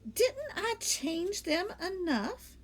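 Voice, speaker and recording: A woman's voice: very high voice, sing-song, drawn-out words, pitched above the performer's own voice, full character voice. A woman voicing a cheerful, eager, literal-minded housemaid in a children's storybook, earnest and pleased with herself. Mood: eager, innocent. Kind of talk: children's storybook character read aloud.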